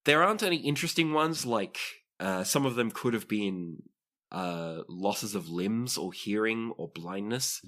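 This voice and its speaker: A man's voice: lowish voice, lively intonation, a little slow, fairly bright tone. A man narrating a tabletop roleplaying game as game master, describing scenes and explaining rules to players.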